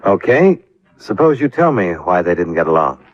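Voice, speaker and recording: Low-voiced hard-boiled radio detective. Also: male voice, big pitch swings, moderate pace. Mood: sardonic, probing. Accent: American. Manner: dry, sarcastic, clipped questioning. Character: hard-boiled, sardonic male insurance investigator narrating his case. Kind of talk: 1950s American radio detective acting. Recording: muffled vintage radio recording.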